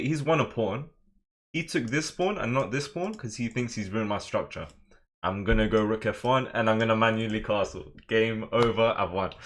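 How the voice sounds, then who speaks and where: A man streaming live chess, talking through his moves in a casual, slangy, excitable way and chatting with viewers. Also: fairly low pitch. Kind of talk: casual live game commentary.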